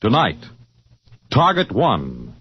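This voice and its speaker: A man's voice: fairly low pitch, wildly swooping pitch, slow, husky. A man announcing a 1950s radio show in a portentous, theatrical style. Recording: dull old radio recording under loud music. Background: dramatic music.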